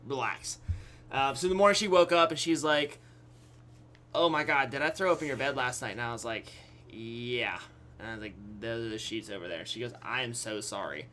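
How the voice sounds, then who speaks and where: A young adult man telling a personal story casually to his viewers, acting out quotes, pausing for effect and often checking that listeners follow. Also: mid-range voice, sharp tone, slight background noise. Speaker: YouTube storyteller.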